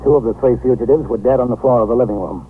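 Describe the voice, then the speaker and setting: A man playing a weary police captain in a fifties radio drama, reporting in clipped, factual, procedural language. His mid-pitched voice comes through a narrow, phone-like band with light noise behind it. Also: very fast, close to an everyday voice. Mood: sober, matter-of-fact. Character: weary, matter-of-fact male police precinct captain. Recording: noisy, thin 1950s radio broadcast recording.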